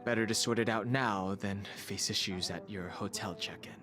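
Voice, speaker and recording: A man voicing a calm, reserved, dutiful young guard in a video game, speaking in a measured, polite way over music. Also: fairly low pitch, lightly acted. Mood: calm, courteous. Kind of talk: video game character acting.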